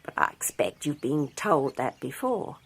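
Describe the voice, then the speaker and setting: A woman's voice: rumbling low, sing-song, unhurried, slightly rough, exaggerated voice. An actress playing a languid, flirtatious married woman with a seductive drawl in a radio drama.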